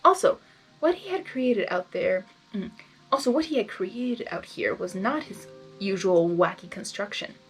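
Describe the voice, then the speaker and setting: A woman reading fan fiction aloud in expressive storybook narration, with informal asides. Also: mid-range voice, measured pace, faint music behind, rough recording.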